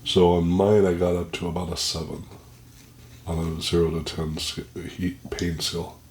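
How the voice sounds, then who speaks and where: A man on a home vlog who talks haltingly, describing the heat of the pepper he has eaten, his nose running and blocked. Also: low voice, level tone, noisy background. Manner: halting, describing the burn as it builds. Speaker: pepper reviewer. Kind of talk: casual vlog commentary. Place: indoors at home. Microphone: plugged-in microphone.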